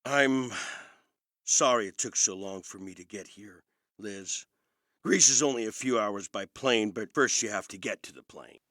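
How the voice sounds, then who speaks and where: An actor playing an aging movie star in an audio drama, a gentle, wry and understated older brother. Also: male voice, lowish voice, a little slow, no room sound, studio quality. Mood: gentle, apologetic.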